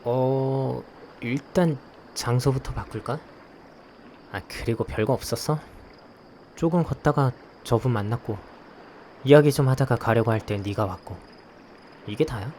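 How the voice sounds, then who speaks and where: A man's voice: mid-range voice, a little slow, pauses often, a little mellow, a little hiss or hum, lightly acted. A man playing a guarded young investigator in a visual novel, narrating and talking in a subdued, hesitant way. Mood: hesitant, polite. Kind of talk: scripted first-person narration and dialogue. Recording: clear night insect ambience behind voice.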